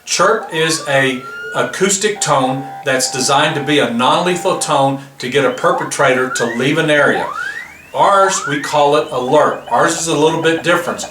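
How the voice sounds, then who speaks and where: A man's voice: on the high side, fairly bright tone, slight background noise. Man explaining gear, distant roomy mic. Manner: plain explanatory, walks through features step by step. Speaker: product demonstrator. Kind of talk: product demonstration.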